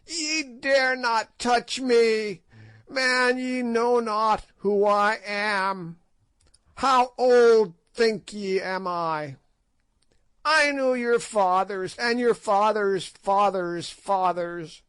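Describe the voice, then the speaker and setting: A shrieking, cackling ancient witch woman, spiteful and defiant, as a male narrator puts her on. The voice leaps high and loud above the reader's own, and the recording is dry and close. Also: drawn-out words, clear, resonant tone, dry recording, full character voice. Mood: raving.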